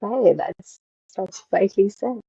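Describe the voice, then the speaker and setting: A woman hosting a podcast who asks long, halting questions full of "uh" and "you know". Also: mid-range voice, animated, creaky, warm tone, slightly rough, no echo. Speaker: podcast host. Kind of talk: interviewing a guest. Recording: dull, dry lo-fi audio.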